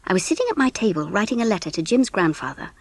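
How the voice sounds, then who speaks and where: A woman narrating a children's story audiobook in an expressive, scripted style. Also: mid-range voice, fast, noisy background.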